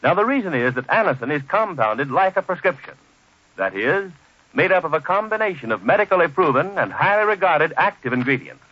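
A muffled old radio recording of an American man announcing. His voice is fairly high and very animated. He delivers scripted copy with formal, emphatic salesmanship. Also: fairly quick.

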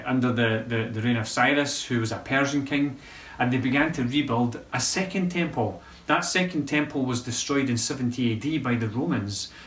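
A man preaching a sermon as pastor of a local church, conversational and halting, often restarting his sentences. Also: mid-range voice, a bit bright. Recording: echoey room from a distance.

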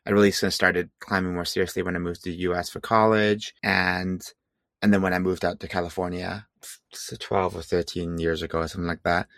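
A man's voice: deep voice, little pitch movement, fairly quick, a bit bright, no room sound, clean studio sound. A man from Hong Kong, a climbing guide, speaking in a hesitant interview manner with many restarts. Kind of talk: casual interview chat.

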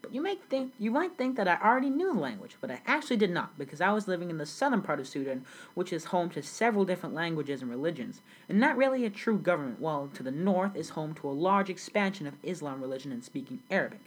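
A girl playing a husky-voiced immigrant storyteller. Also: fairly low pitch, big pitch swings, quick pace, low-quality recording. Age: young teen. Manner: earnest storytelling, read from a script. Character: grown immigrant recalling a South Sudan childhood. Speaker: student playing the interviewee. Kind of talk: school project role-play interview.

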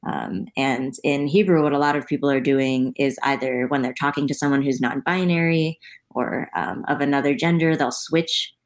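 Low-voiced woman explaining thoughtfully. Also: fairly even intonation, moderate pace. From United States. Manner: thoughtful, explanatory, frequent ums. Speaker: guest. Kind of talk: conversational interview.

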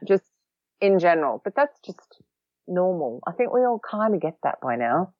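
A woman with an Australian accent, a podcast guest talking casually, quick-witted and a bit sarcastic. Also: fairly low pitch, sing-song, no room sound, dull audio. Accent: Australian, long lived in London. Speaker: podcast guest, social recruiting trainer.

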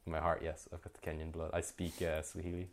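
A young Irish man co-hosting a podcast, chatting quickly and casually, laughing often. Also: rumbling low, completely flat delivery. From Ireland. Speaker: podcast co-host. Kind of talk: casual gaming chat.

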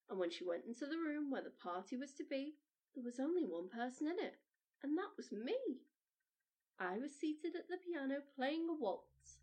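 A woman hosting a children's storytime, narrating a storybook in an expressive, animated way. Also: high-pitched. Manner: animated, addressing children directly.

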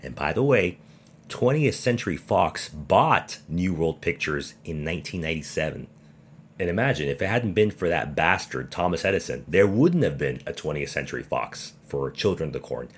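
A man with a deep voice hosts a sports podcast on his own. He speaks emphatically and with sarcasm, pushing his opinions through pointed rhetorical questions. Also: expressive, moderate pace, slight background noise. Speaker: podcast host.

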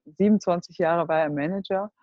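A woman in her forties from Germany telling her life story as a guest, earnest and halting, often searching for words. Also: lowish voice, no room sound. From Germany (Cologne). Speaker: entrepreneur, guest. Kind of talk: personal life story in a group talk. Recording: dull, dry close recording.

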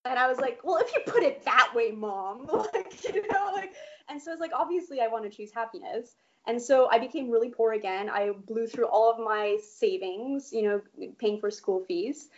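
A Polish-born woman in her thirties tells her story with animated, casual energy, swearing freely and laughing along the way. Her voice sits a little high. The recording is dull and lo-fi. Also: moderate pace. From Poland. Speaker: guest animator. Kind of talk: candid interview storytelling.

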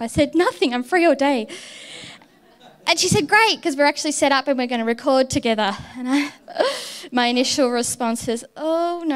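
A woman preaching a sermon in church, telling a personal story in a candid, heartfelt way. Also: high-pitched.

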